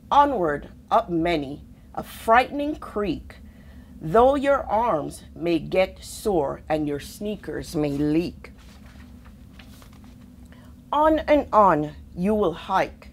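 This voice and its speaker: A woman reads a children's picture book aloud at an unhurried pace. Her pitch moves freely up and down around an average register, and she shapes each phrase expressively, leaving pauses between them. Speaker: storybook reader, army officer.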